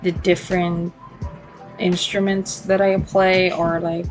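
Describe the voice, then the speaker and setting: A young woman hosts her own podcast in a relaxed solo monologue. Her voice sits on the low side, and she wanders through her thoughts with plenty of ums and restarts. Soft music plays faintly underneath. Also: takes it slowly, poor audio. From Philippines. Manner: rambling and reflective, many fillers and self-corrections. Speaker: podcast host.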